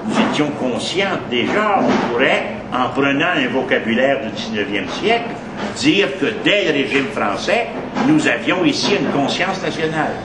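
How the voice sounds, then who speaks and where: A man with a Québécois French accent lectures on history, wandering off into asides and restarting his sentences. He leans hard on important words. He sounds far from the mic in a roomy, echoing space over steady background noise. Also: medium pitch, normal speed. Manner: digressive, restarts often, emphatic on key words.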